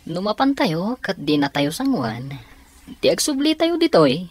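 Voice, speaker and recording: Confiding schemer, husky mid-pitched voice, lively inflection, radio drama. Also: male voice, unhurried, exaggerated voice. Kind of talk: Ilocano radio drama acting.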